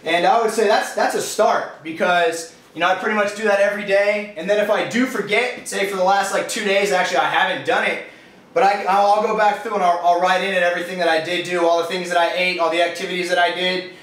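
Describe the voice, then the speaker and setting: A man's voice: high-pitched, fairly even intonation, fast, fairly bright tone. A man in his twenties with a Californian accent, answering interview questions in a casual, rambling way with slangy phrasing. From California, USA. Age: 20s. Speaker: interviewee, fitness business owner. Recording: echoey, distant microphone.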